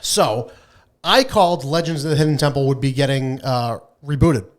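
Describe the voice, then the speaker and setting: A man's voice: mid-range voice, normal speed. A man co-hosting a podcast in casual, irreverent chat, brash and emphatic, swearing freely. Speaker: podcast co-host.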